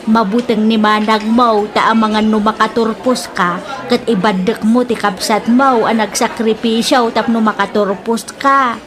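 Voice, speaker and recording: Radio drama aunt, played by a woman: Ilocano, older, nagging, slightly high-pitched, plainly reproachful. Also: steady tone, a little slow, crowd noise, close to an everyday voice.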